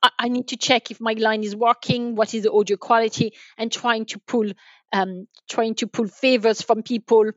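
A woman's voice: a little high, steady tone, moderate pace, no room sound, dull audio. A woman speaking as an interview guest, explaining from experience in a wordy, emphatic way with repeated restarts. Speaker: guest, enterprise sales manager.

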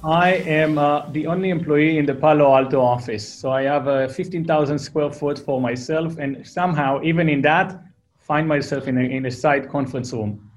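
A man's voice: fairly high pitch, fairly even intonation, moderate pace, soft background music. A man in his forties from Israel with an Israeli accent, a founder being interviewed, talking casually and repeating words as he restarts. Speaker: guest, company founder.

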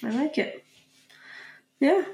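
A woman's voice: high-pitched, animated, slow, vocal fry, warm tone. A woman hosting a podcast interview, speaking in a friendly, encouraging way. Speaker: podcast host. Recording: echoey room.

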